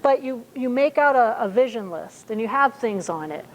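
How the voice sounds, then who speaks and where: A woman's voice: on the high side, lively intonation, normal speed, low-quality recording. A woman in her fifties preaching a sermon in a conversational way, with many fillers and restarts. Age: 50s. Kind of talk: sermon at a church service.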